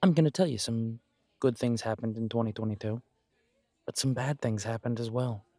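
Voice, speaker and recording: A man's voice: medium pitch, mellow, no echo, close to an everyday voice. A man playing a supportive, self-deprecating best friend in a conversational roleplay audio, talking in a reassuring, reflective way. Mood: candid. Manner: reassuring, chatty, reflective pauses. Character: warm, encouraging male best friend, self-deprecating, gently earnest.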